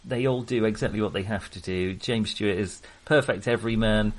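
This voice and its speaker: A man's voice: fairly low pitch, brisk. A man co-hosting a casual film discussion, chatty, enthusiastic and full of opinions. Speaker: film podcast co-host.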